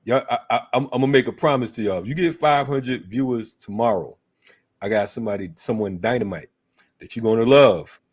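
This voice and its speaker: A man's voice: medium pitch, brisk, slightly rough. A man co-hosting a casual live-stream chat who talks in slang and hypes the audience emphatically. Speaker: co-host.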